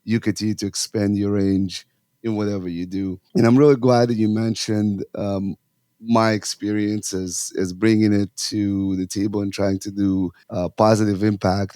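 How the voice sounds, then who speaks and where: A man's voice: fairly low pitch, dark tone, dry recording, clean studio sound. A man from Russia, in his thirties, a youth hockey coach talking earnestly in an interview, with many fillers and restarts. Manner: earnest, talks in long runs with fillers and restarts. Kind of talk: casual interview talk.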